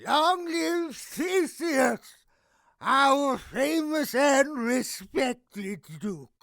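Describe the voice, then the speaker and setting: High-pitched, creaky, outraged father. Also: expressive, a bit bright, no echo, clean studio sound, full character voice. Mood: reverent. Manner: declamatory, drawn-out, indignant complaining. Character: outraged, controlling father, an Athenian nobleman. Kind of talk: modernized Shakespeare audio drama acting.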